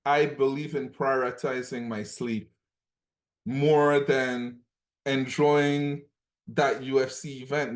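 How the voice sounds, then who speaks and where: Emphatic man from Cameroon, repeating for emphasis. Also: medium pitch. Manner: emphatic, repeats points, frequent "okay?" check-ins. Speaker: coaching call participant. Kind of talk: motivational group call sharing.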